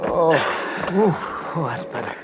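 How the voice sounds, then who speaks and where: Heavy noise and a dull old radio sound surround a fairly high male voice. an exhausted climber, breathless and complaining, his pitch swinging about. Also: expressive, a little slow. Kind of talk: old-time radio adventure drama acting.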